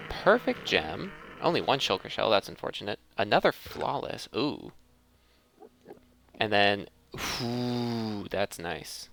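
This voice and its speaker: Male gaming host, gravelly fry, lively swooping intonation, casual think-aloud commentary, faint background noise. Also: on the low side. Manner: thinks aloud, addresses viewers as friends. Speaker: host of a gaming let's play series.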